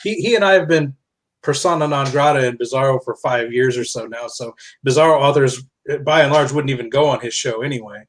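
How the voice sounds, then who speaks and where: A man's voice: medium pitch, fairly quick, low-quality recording. A man speaking as a podcast guest in casual, reflective conversation, telling things in a discursive, self-correcting way. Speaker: podcast guest, author and publisher.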